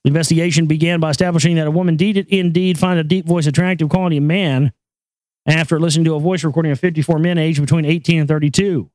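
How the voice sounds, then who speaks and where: Man, high voice, crude jokey host, rambling monologue, dry close sound. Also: fairly even intonation, quick pace, a little mellow, no echo, poor audio. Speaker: show host. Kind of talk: casual talk show monologue.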